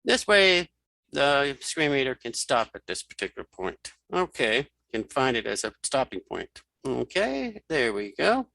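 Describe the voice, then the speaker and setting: A man teaches software in a casual, think-aloud way. His mid-pitched voice is bright and edged with fry, recorded very dry. He explains each small step informally as he goes. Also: sing-song, measured pace, dry recording. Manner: informal, talks through each keypress. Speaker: screen reader tutorial instructor.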